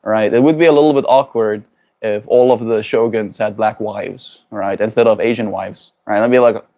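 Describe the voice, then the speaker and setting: A man's voice: fairly low pitch, fairly even intonation, normal speed, warm tone. A man from South Africa hosting a livestream, talking reflectively with his viewers and often tagging his points with questions. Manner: reflective, keeps adding tag questions. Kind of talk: casual livestream chat, answering viewers.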